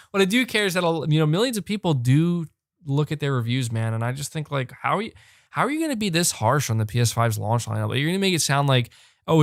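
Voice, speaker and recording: A young man hosting a live podcast, talking fast and animated, repeating words when fired up and losing his voice. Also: mid-range voice, no room sound, studio quality. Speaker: podcast host reading super chats. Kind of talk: excited live-stream chat. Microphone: close desk mic.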